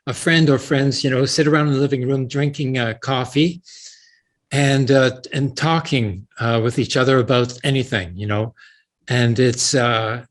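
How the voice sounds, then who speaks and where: A Canadian man in his seventies whose first language is Yiddish speaks as a guest on a video call, hesitant and full of fillers as he searches for words. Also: mid-range voice. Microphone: webcam on a video call.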